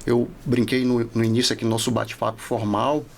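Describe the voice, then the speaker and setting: Brazilian guy from the northeast, 40s, kinda gravelly, explaining stuff in a reflective interview chat, slight room noise. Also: medium pitch, flat delivery, fairly quick, a little mellow. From Salvador, Bahia, Brazil. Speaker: podcast guest, law professor.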